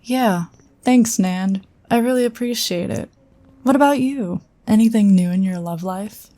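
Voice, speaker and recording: A woman's voice: medium pitch, unhurried, warm tone, lightly acted. A woman playing an earnest, loyal female psion colleague who speaks directly. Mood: warm. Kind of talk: fan audio drama acting.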